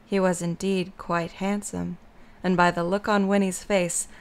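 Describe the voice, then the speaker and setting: A woman's voice: lowish voice, little pitch movement, a little slow, smooth. A woman narrating a scripted story as the narrator of an audio drama.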